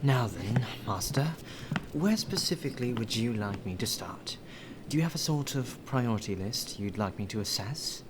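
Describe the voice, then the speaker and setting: A man's voice: mid-range voice, lively intonation, normal speed, close to an everyday voice. A man playing a polite, deferential butler in an ASMR roleplay, with formal, flowery phrasing. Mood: attentive, deferential. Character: courteous, well-spoken male butler, deferential and appreciative. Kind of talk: ASMR roleplay acting, addressed to the listener. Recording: rain ambience and clear background noise.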